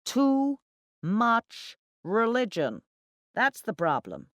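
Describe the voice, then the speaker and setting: A woman voicing a loud, opinionated male account manager in an audiobook, boastful and emphatic. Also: on the low side, wildly swooping pitch, slow pace, no room sound, studio quality, full character voice. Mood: blustering. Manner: emphatic, blustering.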